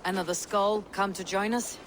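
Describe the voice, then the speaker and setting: An actress playing a blunt, commanding Viking clan warrior in a video game, with a terse, dry delivery. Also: female voice, medium pitch, steady tone, moderate pace, noisy background, close to an everyday voice. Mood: blunt, guarded. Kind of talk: video game character acting.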